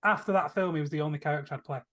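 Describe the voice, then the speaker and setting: A man's voice: on the high side, rapid-fire, a bit bright. A man chatting casually and enthusiastically about films as a podcast panelist, rambling a little as he goes. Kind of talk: casual film discussion.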